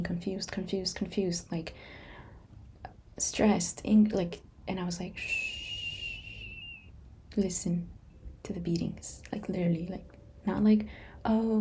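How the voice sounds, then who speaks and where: A woman who whispers almost every word, unhurried and soft. Her tone is mellow and dark. A faint hiss of room ambience sits under her voice. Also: lowish voice. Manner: soft unvoiced whisper throughout, slow and gentle. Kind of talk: whispered meditation talk.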